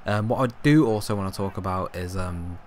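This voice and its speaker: Hoarse young man rambling over gameplay. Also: low-pitched, a little fast, a little mellow, a little hiss or hum. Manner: rambling, filler-heavy, self-correcting. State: hoarse, coughing, voice going. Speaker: gaming YouTuber. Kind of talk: casual gameplay commentary.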